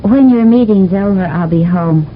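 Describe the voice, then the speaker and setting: An actress as a flirty spaceship lieutenant. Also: female voice, mid-range voice, normal speed, a little hiss or hum, natural voice. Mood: flirtatious. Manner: flirtatious, teasing. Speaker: service lieutenant. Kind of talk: 1970s radio drama acting. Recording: dull old radio broadcast.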